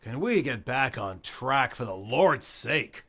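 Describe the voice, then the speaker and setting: An actor playing a stern, pompous, exasperated skeptic in a radio drama. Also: male voice, mid-range voice, very animated, slow, sharp tone, full character voice. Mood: scornful, impatient.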